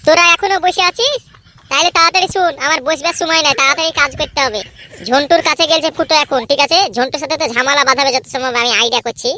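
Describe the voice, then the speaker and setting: A woman playing a scheming boy ringleader in a cartoon. The voice is high and quick, bossy and impatient. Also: bright, forceful tone. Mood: scheming, urgent. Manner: impatient, commanding, boastful. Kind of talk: amateur comedy cartoon dub.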